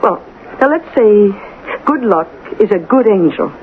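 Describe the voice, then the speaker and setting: An actress playing a mayor's concerned, affectionate and reasonable wife in a vintage radio drama, gently questioning and coaxing. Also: female voice, medium pitch, lively intonation, unhurried, natural voice. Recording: noisy lo-fi old radio recording.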